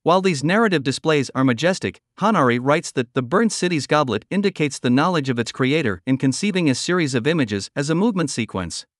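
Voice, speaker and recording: A man reading a scripted documentary narration in an even, measured way. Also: a little high, no echo, studio quality.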